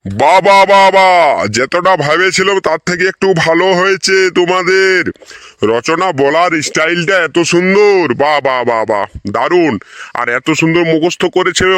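A man's voice: sits high, normal speed, fairly bright tone, no echo, studio quality. A man playing an effusive, easily impressed village schoolteacher in a comic cartoon, repeating himself emphatically. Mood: delighted praise. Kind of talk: comic cartoon skit dialogue.

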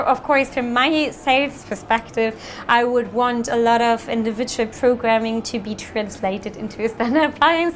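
A woman in her thirties presenting software at a meetup, answering audience questions in a friendly, fluent way with frequent ums. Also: fairly high pitch, slight background noise.

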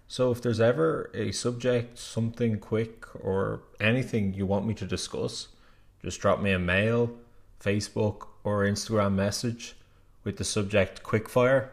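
A young man in his twenties hosting a casual vlog indoors, chatty and enthusiastic. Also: lowish voice. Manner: chatty, enthusiastic, laughs at own asides. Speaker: channel host. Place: indoor room, seated at a table.

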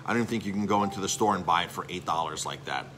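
A man's voice: deep voice, fast, sharp tone, slight background noise. A middle-aged man, a chef and restaurant owner, giving a rambling, salesy live-stream update with lots of filler words.

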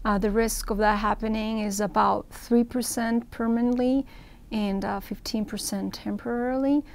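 Creaky-voiced woman from Ecuador explaining. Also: fairly high pitch, fairly even intonation, normal speed, darkish tone, a little hiss or hum. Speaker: interviewed surgeon. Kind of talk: explanatory medical interview. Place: indoor event room with banner backdrop.

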